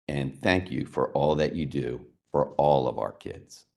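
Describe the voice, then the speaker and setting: A man giving a prepared opening speech as a state education official, in an earnest, steady and formal way. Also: extremely low pitch, unhurried, creaky.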